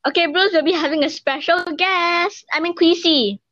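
A bubbly woman hosts a casual podcast chat in a high voice. The sound is dry and slightly dull, like a lo-fi mic. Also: moderate pace, fairly bright tone, dry recording. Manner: bubbly, laughs often. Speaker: podcast host.

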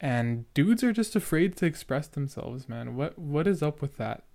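A man's voice: on the high side, animated, normal speed, gravelly, a little mellow. Young man with a stutter, rambling. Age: young adult. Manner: earnest, rambling, filler-heavy. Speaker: podcaster reacting to his own episode. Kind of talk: casual reaction commentary.

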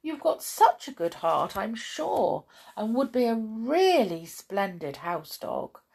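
A woman voicing a kindly, gentle little fat man in a children's story, speaking earnestly and with sympathy. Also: mid-range voice, big pitch swings, slow pace, vocal fry, husky, pitched above the performer's own voice, heavily acted. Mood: kind, encouraging.

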